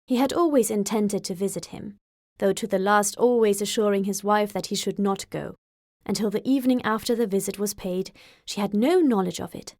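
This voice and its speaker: A woman's voice: mid-range voice, moderate pace, darkish tone, no room sound, studio quality. A woman reading scripted literary narration as an audiobook narrator.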